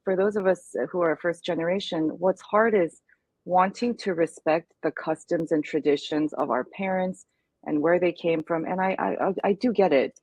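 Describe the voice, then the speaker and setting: A woman's voice: lowish voice, steady tone, moderate pace, darkish tone, husky, no echo. A woman speaking in an interview, earnest and reflective as she talks through her experience. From United States. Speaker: guest speaker. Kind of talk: candid interview conversation.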